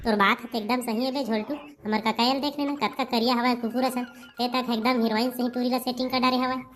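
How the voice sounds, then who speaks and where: A scheming young village boy from a comic cartoon, acted by a woman. The voice is high and bossy, quick to scold, with a Chhattisgarhi accent. Faint music plays behind. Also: fairly even intonation, close to an everyday voice.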